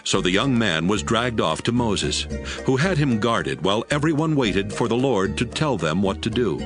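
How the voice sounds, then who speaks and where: A man's voice: lowish voice, big pitch swings, moderate pace. A man narrating scripture from a script as the storyteller of an audio Bible. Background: music.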